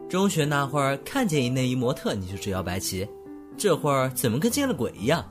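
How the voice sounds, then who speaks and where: A man acting an unflappable young man, expressive pitch. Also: brisk, over music, lightly acted. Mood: teasing, dismissive. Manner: unfazed, matter-of-fact, teasing. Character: unflappable, blunt young man counselling his rattled friend. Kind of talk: Mandarin audio drama acting.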